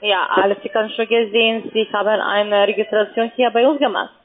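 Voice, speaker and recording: A woman's voice: medium pitch, fairly even intonation, fairly quick. A woman working as a call centre agent, questioning a customer in broken, non-native phrasing over the phone. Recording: tinny narrow phone line.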